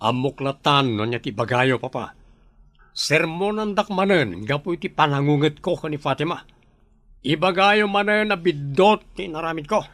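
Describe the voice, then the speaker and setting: Man acting a high-voiced, short-tempered scolding father. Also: animated, moderate pace, fairly bright tone, no room sound. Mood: resentful. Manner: scolding, indignant. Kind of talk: radio drama acting.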